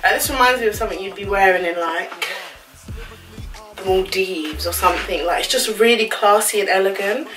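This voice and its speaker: A woman's voice: medium pitch, brisk, a bit bright, recorded from a distance, soft background music, poor audio. Bubbly woman in a bedroom. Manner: bubbly and gushing, with slangy asides. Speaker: fashion vlogger. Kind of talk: casual try-on haul chat. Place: small bedroom indoors. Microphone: camera on a stand a few feet away.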